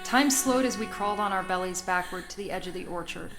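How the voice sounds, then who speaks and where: Actress as young Sicilian woman, literary dramatic storyteller for a radio play, loud score underneath. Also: mid-range voice, a little fast, lightly acted. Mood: tense, haunted narration. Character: breathless young Sicilian woman recalling her girlhood romance and terror. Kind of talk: cinematic radio play narration and dialogue. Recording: loud soundtrack music behind voice. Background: music.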